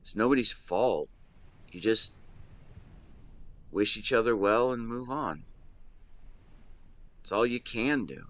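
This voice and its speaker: Man acting a low-voiced, animated, devoted best friend. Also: no echo. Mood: sympathetic. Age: 20s. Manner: earnest, playful, hesitant with stammered repeats. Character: devoted young male best friend, shyly lovesick, protective. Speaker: audio roleplay performer. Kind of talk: intimate one-sided roleplay acting, conversational.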